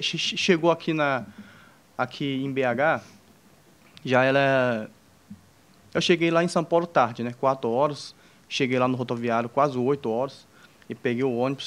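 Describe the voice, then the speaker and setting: A young man from China speaks with a clear Chinese accent and a pitch right in the middle for a man. He tells his story informally to a crowd, in stops and starts, full of restarts and little jokes. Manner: halting, many fillers and restarts, jokes with the audience. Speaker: entrepreneur speaking on a panel. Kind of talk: informal personal story told to an audience.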